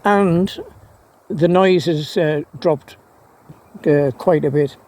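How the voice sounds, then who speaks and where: A man giving a casual, unscripted explanation as a radio hobbyist, halting and rambling, often stammering into restarts and repeating his phrases. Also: a little high, darkish tone. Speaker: amateur radio hobbyist presenter. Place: outdoors by trees and a fence.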